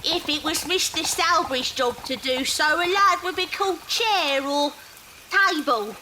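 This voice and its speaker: Wry tradesman's wife, high and lively, chatty, noisy background. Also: unhurried. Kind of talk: period audio drama acting.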